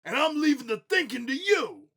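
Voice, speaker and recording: A man playing a loud, impatient tough guy in a fan dub, brash and slangy. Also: very high-pitched, very animated, a little fast, heavily acted. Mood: exasperated. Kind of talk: dramatic fan dub acting.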